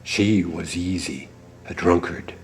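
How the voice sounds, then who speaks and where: Slow, low, callous conspirator. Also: male voice. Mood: discontented, callous. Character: callous, restless male member of murderous society. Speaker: actor. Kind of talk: dramatic film dialogue.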